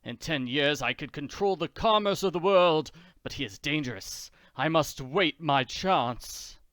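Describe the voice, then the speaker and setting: A man voicing a haughty scheming male aristocrat villain, pompous and stilted, in a crisp dry reading. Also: fairly high pitch, lively intonation, normal speed, no echo, lightly acted. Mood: angry scheming.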